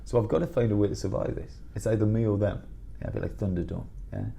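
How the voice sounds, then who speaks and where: A man around fifty, a headmaster telling blunt, animated stories in a candid interview. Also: fairly low pitch, fast talker, gravelly, slight background noise. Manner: blunt, animated storyteller with bursts of laughter.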